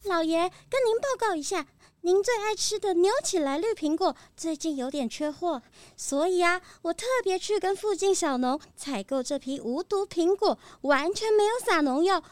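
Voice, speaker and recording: A woman's voice: very high-pitched, no room sound. A woman voicing an eager, obedient little bird servant in a children's audio drama, earnest and deferential. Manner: deferential, earnest, chirpy reporting to the master.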